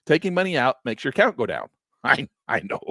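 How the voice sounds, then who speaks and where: Animated older man teaching trading. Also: brisk, dry recording, clean studio sound. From Southern Utah. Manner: animated and exasperated, mocks critics in a high squeaky voice, drops low to grumble. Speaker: trading educator. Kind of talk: live-stream trading lesson, off-the-cuff rant.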